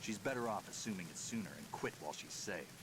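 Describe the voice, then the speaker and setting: An actor playing a gruff, world-weary middle-aged secret agent in a video game, with a gravelly low growl and terse deadpan delivery. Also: male voice, quick pace, noisy background. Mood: dry, wary. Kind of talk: dramatic video game voice acting.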